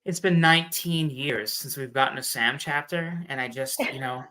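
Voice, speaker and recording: Male guest for casual theory talk: average pitch, strikingly bright and forceful delivery, conversational phrasing. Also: moderate pace. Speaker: guest theorist on a fan discussion show. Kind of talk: casual chat explaining fan theories.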